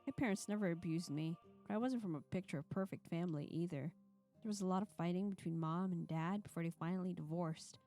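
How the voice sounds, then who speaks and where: A woman's voice: fairly low pitch, fast talker, dark tone. Woman streamer reading aloud over music. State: tired. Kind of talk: live-stream read-aloud and casual banter. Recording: music behind the voice.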